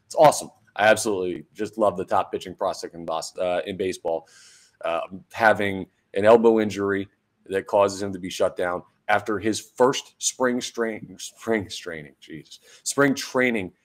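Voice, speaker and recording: A young man hosting a solo sports podcast, his voice hoarse and deeper after a night of drinking, chatting sarcastically with rambling fillers. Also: moderate pace. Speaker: podcast host. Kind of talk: casual solo sports talk.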